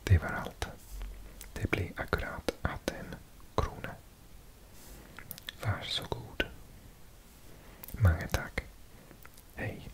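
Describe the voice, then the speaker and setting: A man from Wales whispering slowly and gently as he reads from a language textbook, correcting himself as he goes. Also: poor audio. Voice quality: soft unvoiced whisper. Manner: slow, gentle, self-correcting learner. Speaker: ASMR creator. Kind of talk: whispered ASMR language reading. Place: indoors at a table.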